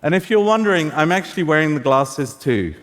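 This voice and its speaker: A man's voice: on the high side, fairly quick. Farsi-native man presenting on stage. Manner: relaxed, joking, with filler "uh"s. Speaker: tech presenter. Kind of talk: live product demo talk. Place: stage of a large auditorium. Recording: echoey hall reverb.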